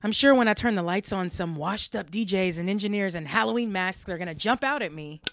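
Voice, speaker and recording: A woman's voice: medium pitch, brisk, slight background noise, natural voice. A fed-up late-night radio host, played by a woman in a radio drama. She is sarcastic and talks straight to her listeners.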